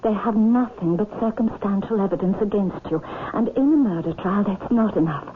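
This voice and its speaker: A woman's voice: mid-range voice, brisk, natural voice. Tinny old-radio scheming aristocratic widow, acted by a woman. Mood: coaxing, possessive. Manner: insistent, imperious, melodramatic. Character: possessive, scheming aristocratic widow, turning vindictive when spurned. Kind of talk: vintage radio drama acting. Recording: tinny, hissy old radio drama recording.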